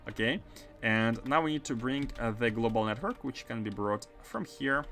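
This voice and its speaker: A man's voice: mid-range voice, moderate pace, soft background music. A man with a mixed Russian and French accent giving casual, filler-heavy commentary while he plays a game. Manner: chatty, many fillers, bursts of excitement. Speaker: game streamer.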